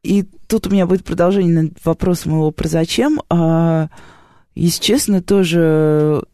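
A woman's voice: fairly low pitch, steady tone, normal speed, mellow, no room sound, studio quality. A woman hosting a radio interview, asking long winding questions while fighting an autumn cough. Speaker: radio host. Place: radio studio.

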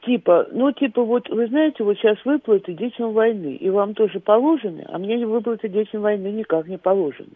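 A woman in her nineties calling in by phone, telling a story briskly and with dry humor. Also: medium pitch, no echo. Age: 90s. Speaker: caller. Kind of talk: phone-in chat. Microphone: telephone. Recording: tinny phone line.

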